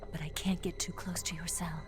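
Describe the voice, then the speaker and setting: Hushed, lowish female spy played by a woman. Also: level tone, fairly quick, natural voice. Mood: urgent, secretive. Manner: hushed, urgent, instructing. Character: cool, urgent female spy ally. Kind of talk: cinematic video game acting. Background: prominent music.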